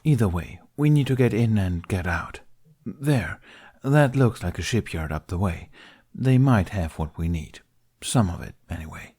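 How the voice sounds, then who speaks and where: A man voicing a seasoned, dryly formal Jedi master with a measured manner in an audiobook. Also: sits low, lively intonation, dark tone, slightly rough, full character voice. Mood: businesslike. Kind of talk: audiobook character dialogue.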